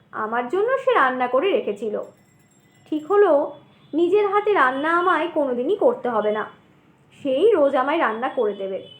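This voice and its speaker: A woman with a distinctly high voice narrates a scripted ghost story in an expressive storytelling style. The sound is noticeably roomy, with echo around her words.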